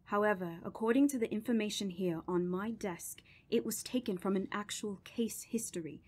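An actress playing a grave, commanding woman doctor who studies evil spirits in a radio horror drama, speaking solemnly and deliberately. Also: medium pitch, darkish tone, lightly acted. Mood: grave, explanatory.

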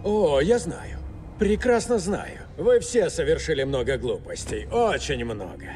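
High-pitched, menacing corrupt cop. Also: male voice, very animated, measured pace, darkish tone. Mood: smug menace. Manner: threatening, condescending. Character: menacing, corrupt male police officer. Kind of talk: dramatic video game dub acting. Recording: music under the dialogue.

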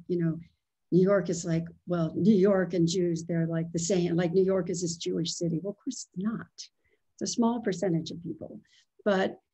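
Husky, mellow-voiced woman reflecting aloud. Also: on the low side, low-quality recording. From small town in Pennsylvania. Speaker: guest, writer and former editor. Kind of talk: conversational video-call interview. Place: home living room with bookshelves. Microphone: video call.

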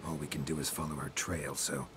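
Very deep, weary monster hunter. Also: male voice, a little fast. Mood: dry. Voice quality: low gravelly, hushed. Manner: terse, deadpan. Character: weary gruff male monster hunter, dry and terse. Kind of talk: video game cutscene acting. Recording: game audio with clear background noise.

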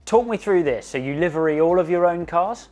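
A man's voice: on the high side, lively intonation, brisk, a little mellow, faint music behind. A man with an inquisitive, conversational manner, interviewing his guide on a factory tour. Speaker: interviewer and commentator.

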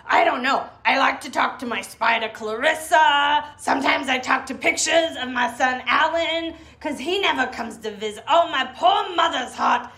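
A woman's voice: high-pitched, moderate pace, few pauses, sharp tone. An actress playing a chatty, eccentric mother who rambles with dramatic self-pity in an audio drama. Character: chatty, eccentric, guilt-tripping mother held captive. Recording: clear background noise.

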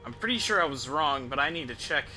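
A man's voice: a little high, animated, quick pace, pushed and bright, faint music behind. A young man casually commentating on his game live, rambling and swearing as he thinks aloud. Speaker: game streamer.

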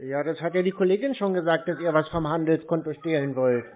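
A man, asking naive questions in a deadpan, casual way during a phone conversation. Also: a little high, quick pace, slight background noise. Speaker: prank caller baiting phone scammers. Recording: tinny phone-like sound.

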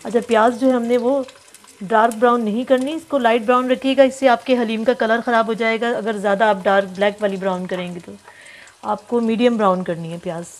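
A woman hosting a home cooking tutorial, chatting through each step and reading out ingredient amounts slowly, often repeating them. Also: a little high, fairly even intonation. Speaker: cooking channel host. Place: home kitchen.

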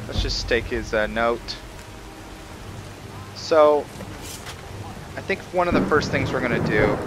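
A man giving casual, halting, explanatory commentary as he hosts a gameplay video. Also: on the low side, noisy background. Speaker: gaming video host. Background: video game ambience.

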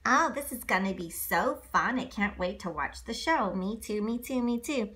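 A woman co-reading a children's storybook, with excitable, playful asides and repeated phrases. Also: medium pitch, moderate pace, breathy edge. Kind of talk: animated read-along storytelling with playful asides.

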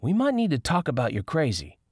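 A man's voice: on the high side, wildly swooping pitch, brisk, darkish tone, husky, no echo, clean studio sound, voice pushed up, full character voice. A man voicing a sassy, blunt, teasing young woman in an audiobook.

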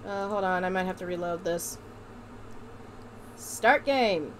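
A woman's voice: fairly low pitch, flat delivery, quick pace, slight background noise. A woman giving wry, impatient, casual commentary while streaming a game.

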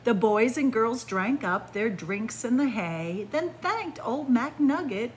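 A middle-aged woman reading a picture book aloud to children in an animated, deliberate storytelling manner. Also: on the high side, slight background noise, poor audio. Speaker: library story-time reader. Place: indoors in a library among bookshelves.

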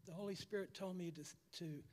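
A raspy-voiced man giving a halting personal testimony to a church congregation, repeating words as he goes. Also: high-pitched, fairly even intonation, a little mellow, a little hiss or hum. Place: church hall. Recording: long church hall reverb.

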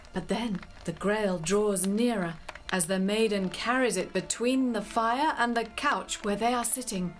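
A woman giving measured, scripted storytelling narration as part of a dramatic play. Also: medium pitch, a little hiss or hum. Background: music.

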